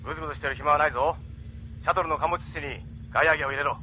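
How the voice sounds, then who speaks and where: A sharp, radioed spaceship captain. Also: male voice, fairly high pitch, steady tone, very fast, a little hiss or hum, low-quality recording. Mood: brisk, urgent. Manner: urgent, gruff orders. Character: brisk, commanding male spaceship captain. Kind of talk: Japanese anime audio drama acting.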